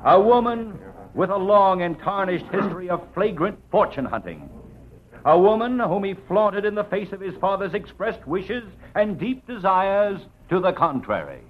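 Theatrical, swooping courtroom prosecutor, vintage radio. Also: male voice, high voice, heavily acted. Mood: accusing, scornful. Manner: oratorical, crisp, emphatic, with dramatic pauses. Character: aggressive, eloquent male assistant district attorney in court. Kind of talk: old radio drama acting, courtroom speech. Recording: dull vintage radio drama recording.

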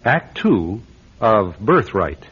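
A man's voice: fairly low pitch, big pitch swings, takes it slowly. A man narrating a classic radio drama in a dramatic, atmospheric scripted style. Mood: atmospheric, measured. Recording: muffled vintage radio, music underneath.

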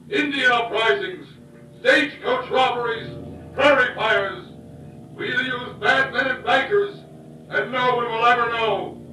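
A high, forceful fanatical outlaw chief declaims to his legion in bombastic radio-drama style. His voice echoes heavily, as if across a cavern. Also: full character voice. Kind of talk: old-time radio drama oratory. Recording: echoing cavern, distant, vintage radio. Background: music and cheering crowd.

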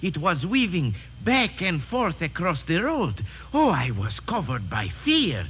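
A meek, wordy cart driver. His voice sits fairly high and leaps around as he haltingly defers to his betters. It is a dull, noisy old radio drama recording. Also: wildly swooping pitch, exaggerated voice. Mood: frightened, excited. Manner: halting, humble, stilted foreign phrasing.

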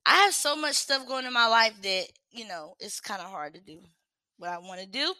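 A woman's voice: fairly high pitch, lively intonation, normal speed, pushed and bright, no room sound, clean studio sound. A young woman hosting a livestream, reading self-reflection questions aloud and answering them frankly in casual chat. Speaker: livestream host.

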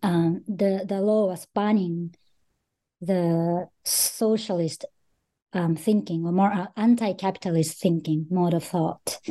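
A woman from Japan with a Japanese accent, speaking thoughtfully as an author in a podcast interview, with frequent pauses and fillers. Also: on the low side, fairly even intonation, mellow. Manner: thoughtful, with frequent "um" and "you know". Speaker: author guest, associate professor.

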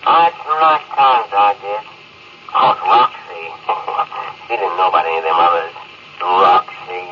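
An actor playing a simple, sentimental middle-aged ship's mechanic in an old radio drama, rough-spoken and rambling, heard over a spaceship radio. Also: male voice, deep voice, unhurried. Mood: wistful, reminiscing. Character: simple, garrulous, sentimental working-class ship's mechanic, male. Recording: muffled old radio broadcast, noisy hiss.

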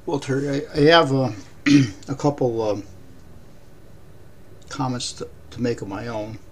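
A man hosting a podcast, raspy voice, talking haltingly with many ums and uhs. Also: mid-range voice, a little hiss or hum. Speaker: podcast host. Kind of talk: casual podcast commentary.

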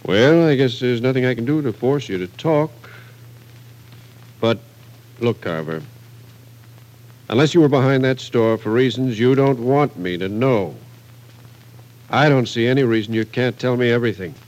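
An American actor playing a patient police captain, questioning with measured persistence in an old radio drama. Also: male voice, mid-range voice, animated, a little mellow, close to an everyday voice, poor audio. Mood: patient, probing. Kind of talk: 1940s radio drama acting.

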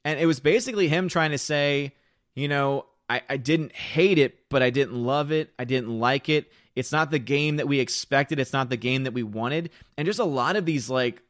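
Slightly hoarse man chatting on stream. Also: medium pitch, moderate pace, a bit bright, no room sound, clean studio sound. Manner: rambling, restarts and reads chat aloud. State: slightly hoarse, losing his voice. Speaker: live streamer. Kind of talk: casual live-stream chat reading comments.

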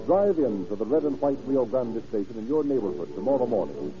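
An American man works as a 1930s radio announcer, formal and declamatory. His mid-pitched voice comes over a dull vintage recording with music. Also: very animated, fairly quick. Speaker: announcer and narrator.

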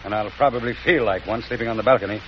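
A man's voice: on the low side, very fast, lightly acted. An actor playing a wry, chivalrous leading man in a 1940s radio romantic comedy. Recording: muffled, hissy old 1940s radio broadcast.

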